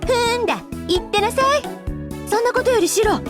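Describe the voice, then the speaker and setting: A cheerful big-sister schoolteacher from a visual novel, whining playfully like a kid. Her voice is extremely high and swoops about at a brisk clip, with music playing clearly behind it. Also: lively intonation. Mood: huffy. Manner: whiny, playful, exaggerated. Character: childish, hungry, cheerful female teacher and big-sister figure. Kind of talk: Japanese visual novel voice acting.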